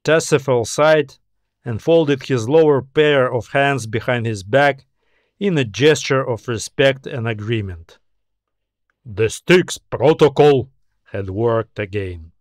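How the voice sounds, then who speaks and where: A man narrating a science fiction story as a scripted audiobook, in a measured storytelling manner with phrases that trail off. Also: medium pitch, no echo, studio quality.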